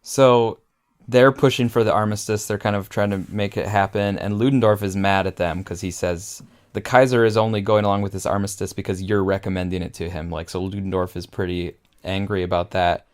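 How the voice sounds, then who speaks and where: A man's voice: lowish voice, fairly even intonation, brisk. A man co-hosting a podcast, analysing film in a casual conversational way. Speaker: podcast co-host.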